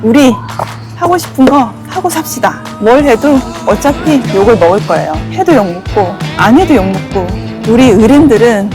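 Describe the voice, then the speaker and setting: A middle-aged woman with a Busan accent chats through a travel vlog, full of exclamations. Her voice sits fairly high and swings around a lot. Music plays clearly behind her. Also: moderate pace. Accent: Busan Gyeongsang dialect. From Busan, South Korea. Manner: chatty, exclaims often, slips into dialect when excited. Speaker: travel vlogger. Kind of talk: casual vlog chat. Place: outdoors by a river and in a convenience store.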